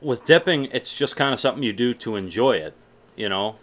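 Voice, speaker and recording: A man's voice: medium pitch, lively intonation, brisk, a bit bright. A young man from Massachusetts with a mild Boston accent mixed with other American sounds, chatting casually and swearing often while answering viewer questions. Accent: mild Boston mixed with general American. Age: young adult. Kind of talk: casual Q&A vlog.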